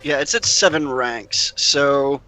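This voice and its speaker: A man's voice: medium pitch, slow pace, bright, forceful tone, low-quality recording. A man playing a tabletop game, chatting casually and thinking aloud as he explains the rules.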